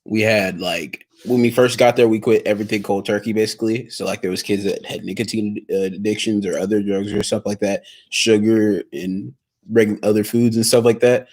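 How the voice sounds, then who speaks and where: A teenage boy with a fairly low voice talks casually. He rambles and keeps asking "right?". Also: fairly even intonation, normal speed, darkish tone. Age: teens. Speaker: son of the host. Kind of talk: casual chat.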